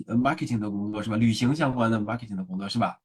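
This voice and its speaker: A man's voice: lowish voice, racing, breathy edge. A male podcast host asking long questions, often stammering and repeating words. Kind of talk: casual interview chat.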